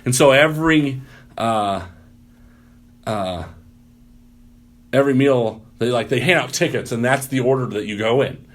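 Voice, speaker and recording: A man's voice: mid-range voice, measured pace, long pauses. A man who works as a pastor, telling stories, acting out what people said. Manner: animated storyteller, loud voice, acts out quotes. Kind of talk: casual podcast storytelling.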